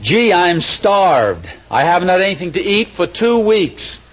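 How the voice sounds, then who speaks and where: A man's voice: high-pitched, big pitch swings, a little slow, exaggerated voice. An actor playing a scheming, mildly exasperated husband and father in an old-time radio comedy, chatty and mock-earnest.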